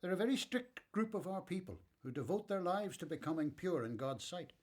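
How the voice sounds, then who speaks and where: A man acting in a radio Bible play as a gentle, frank and devout elderly father, measured and reassuring. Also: high-pitched, lively intonation. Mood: thoughtful, advising. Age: older.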